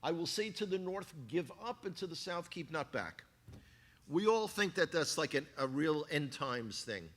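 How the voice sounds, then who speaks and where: A man with a Bronx New York accent giving a church talk, animated and cracking jokes to the congregation. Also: a little high, moderate pace. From New York, USA. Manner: animated, joking asides to the congregation. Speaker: guest speaker, former tour guide. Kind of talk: sermon-style talk. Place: church hall. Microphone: handheld microphone.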